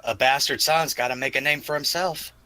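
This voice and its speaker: Cocky half-orc warrior for a tabletop game, played by a man: declamatory, very forceful, close dry sound. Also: mid-range voice, steady tone, a little fast, dry recording, natural voice. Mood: proud defiance. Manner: proud, declamatory. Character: boastful half-orc warrior, bastard son, defiant.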